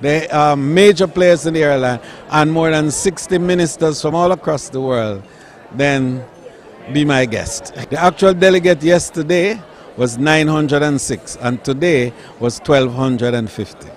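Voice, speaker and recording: A man's voice: on the high side, a little slow. A man, a tourism official speaking emphatically at an outdoor event. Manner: emphatic, listing achievements with rising stress. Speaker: tourism official giving a speech. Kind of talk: formal public speech to the press.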